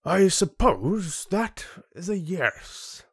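A man's voice: high-pitched, big pitch swings, extremely slow, slightly rough, dry recording, studio quality, voice pushed up, full character voice. A man voicing an arrogant, gluttonous older chieftain in a fantasy audiobook, pompous and dismissive in a theatrical way. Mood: smug, mocking. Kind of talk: audiobook character acting.

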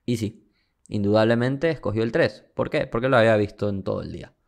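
A man's voice: fairly low pitch, a little fast, creaky, a little mellow. A man giving casual storytelling commentary as a podcast co-host.

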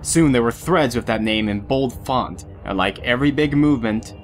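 A man's voice: mid-range voice, moderate pace, soft background music. A man reading scripted third-person narration for an audio drama.

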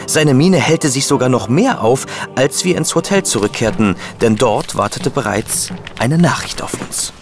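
Radio-drama assistant and chronicler, male actor: impressionable, mid-pitched, animated, open delivery. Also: moderate pace, over music, close to an everyday voice. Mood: wry narrating. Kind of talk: German radio drama acting and narration.

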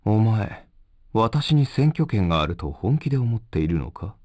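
A man's voice: on the low side, sing-song, normal speed, a little mellow, dry recording. A man voicing a cool, stern assassin leader in an anime video game, terse and formal in manner. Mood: cool, weary.

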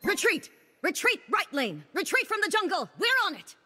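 A woman's voice: very high voice, big pitch swings, normal speed, pushed and bright, heavily acted. A woman voicing an imperious celestial maiden in a video game, speaking formally and with haughty command. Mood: urgent, commanding. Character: imperious cosmic maiden, celestial keeper of the stars. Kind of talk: video game character voice lines. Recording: long reverb on game voice.

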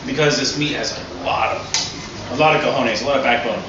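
A man's voice: mid-range voice, brisk. Middle-aged man, chef at a patio demo, casual and eager. Distant, echoey, muffled sound with a hiss of outdoor noise. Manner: relaxed, enthusiastic explaining with restarts. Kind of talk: cooking demonstration. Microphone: far camera. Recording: echoey, distant, dull, noisy outdoor audio.

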